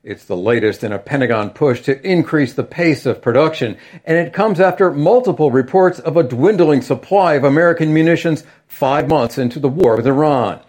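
A man filing a news report as a correspondent, with a measured, formal delivery. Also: a little high, expressive, a little mellow.

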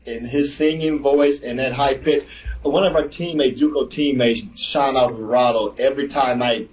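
A man who played the sport, a guest on a radio show, telling stories in a lively, repetitive way. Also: mid-range voice, normal speed, poor audio. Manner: animated storyteller, repeats himself. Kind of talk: casual sports radio chat.

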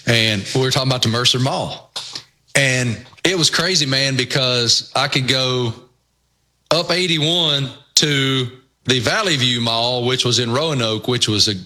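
A man's voice: mid-range voice, a little slow. A man with a Southwest Virginia accent, hosting a casual sports talk show and telling folksy stories. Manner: storytelling, folksy and animated. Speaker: host.

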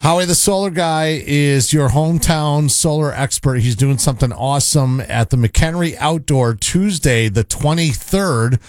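A man's voice: unhurried, few pauses. Upbeat man reading a radio ad. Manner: upbeat, pitchy sales delivery. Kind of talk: read commercial.

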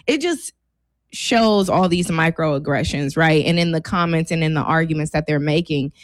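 Female American talk co-host, lower-pitched and lively, opinionated, slangy, in a dry close recording. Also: moderate pace, dry recording. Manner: animated, opinionated, informal slangy phrasing. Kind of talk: casual banter and discussion.

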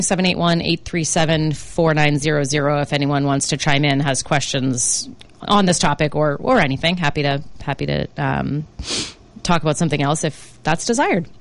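Slightly hoarse woman radio host. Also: deep voice, moderate pace. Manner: conversational, many fillers and restarts. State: slightly hoarse, under the weather. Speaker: radio show host, financial planner. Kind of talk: talk radio monologue. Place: radio studio. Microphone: studio microphone.

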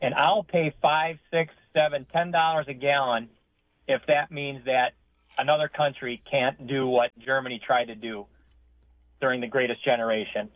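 A man's voice: mid-range voice, fairly even intonation, normal speed, dry recording. A man in his fifties calling into a radio talk show, earnest and emphatic, stumbling over his words. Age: 50s. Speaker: caller. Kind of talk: phone-in call to a radio show. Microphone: telephone. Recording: tinny phone line.

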